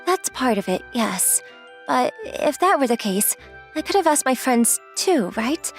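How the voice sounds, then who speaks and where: Casting: a woman plays a girlish young manga-dub heroine, high-pitched and lively, relaxed, affectionate and playfully teasing. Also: unhurried, faint music behind, full character voice. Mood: earnest. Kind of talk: acted anime-style dialogue.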